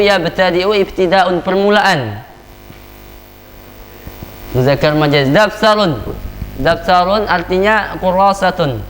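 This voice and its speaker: Indonesian man teaching, reverberant hall. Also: high voice. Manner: drills vocabulary, asks the class questions, many "ya" tags. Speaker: Arabic grammar teacher. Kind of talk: classroom grammar lesson. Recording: long hall reverb, faint mains hum.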